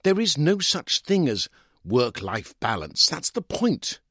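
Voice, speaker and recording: A man's voice: on the high side, sing-song, slow, fairly bright tone, slightly rough, no echo, clean studio sound, pitched above the performer's own voice, full character voice. A man reading a short story as a smug, condescending middle-aged divorced lawyer with an English accent, argumentative and self-justifying. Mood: opinionated, dismissive. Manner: opinionated, condescending, emphatic. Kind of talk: dramatised first-person short story reading.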